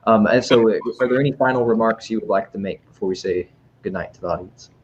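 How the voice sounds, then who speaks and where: A man's voice: on the low side, fast talker. A man casually moderating an online library talk, reading out comments and chatting with a guest. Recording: dull lo-fi online call, clear background noise.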